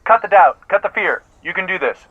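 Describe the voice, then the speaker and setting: An actor playing an eager, self-serious out-of-work actor in an audio comedy, earnest and theatrical, in a slightly dull recording. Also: male voice, sits high, expressive, brisk. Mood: coaxing, intense. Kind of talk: naturalistic comedic audio play acting.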